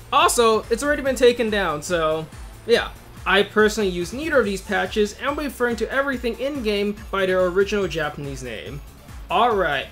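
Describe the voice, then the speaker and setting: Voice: medium pitch, a bit bright. A video host narrating a scripted game guide in a casual, conversational way with informal asides.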